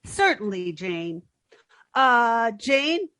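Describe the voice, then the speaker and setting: A woman acts a guileless, slow-witted young woman in a vintage radio comedy. Her voice is high and swings widely up and down. She sounds earnest and sing-song, recorded close and dry. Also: sing-song, bright, forceful tone, no echo, full character voice. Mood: hopeful. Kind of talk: old-time radio comedy acting.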